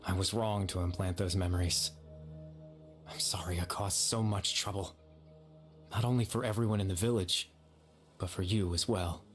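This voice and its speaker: An actor playing the gentle, regretful lingering consciousness of a dead young man in a video game, soft-spoken and measured. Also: low-pitched, steady tone, soft background music, lightly acted. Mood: apologetic.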